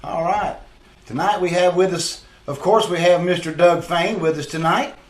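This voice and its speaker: A man's voice: high-pitched, normal speed. An American man chatting casually as co-host of a troupe's show over a video call. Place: indoor room, video call. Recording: roomy, echoing video-call sound.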